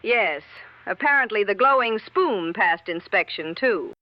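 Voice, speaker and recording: Woman acting a deadpan vintage radio co-host. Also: medium pitch, a little slow, no echo, natural voice. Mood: dryly amused. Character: dry, deadpan female 1960s radio co-host. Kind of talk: 1960s radio show banter. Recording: dull lo-fi vintage radio sound.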